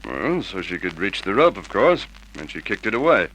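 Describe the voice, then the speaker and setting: A male actor playing a blunt, businesslike homicide sergeant in an old radio detective drama, firing questions gruffly. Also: deep voice, big pitch swings, moderate pace, a bit bright, full character voice. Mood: skeptical, questioning. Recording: noisy vintage radio drama recording.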